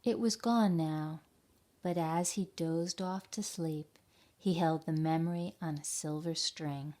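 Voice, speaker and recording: Slow, low-voiced woman reading at storytime. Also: fairly even intonation. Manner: gentle, simple explanations addressed to young children. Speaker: children's library storytime reader. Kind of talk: storytime read-aloud and talk to children.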